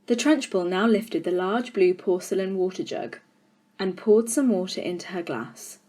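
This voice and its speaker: Children's audiobook narrator: woman, mid-range voice, expressive, deliberate and dramatic. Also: mid-range voice. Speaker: storyteller.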